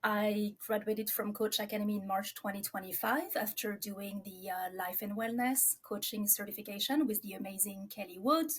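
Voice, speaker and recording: A woman's voice: mid-range voice, fairly even intonation, moderate pace, few pauses, slightly rough. A woman in her forties from France, with a French accent, talking reflectively about herself as an interview guest. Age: 40s.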